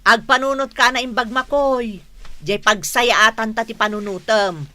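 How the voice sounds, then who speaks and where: A scheming wife in an Ilocano radio play, who talks her husband into greed. Her voice is bright and forceful, a little high, with an Ilocano accent. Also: steady tone, normal speed. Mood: conniving. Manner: persuasive, conniving. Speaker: radio drama actress.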